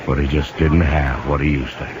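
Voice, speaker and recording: An actor in a 1950s radio drama playing a plain-spoken, concerned baseball scout who tells the story in a folksy, earnest way. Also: male voice, rumbling low, flat delivery, moderate pace, a crowd in the background. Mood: reflective. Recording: noisy, muffled 1950 radio recording.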